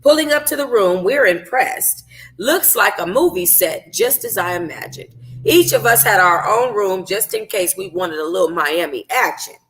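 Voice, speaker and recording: A woman's voice: medium pitch, lively intonation, normal speed, fairly bright tone. A woman reading a novel aloud in an informal way, as a book club host, sometimes stumbling and rereading phrases. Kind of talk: informal first-person audiobook narration.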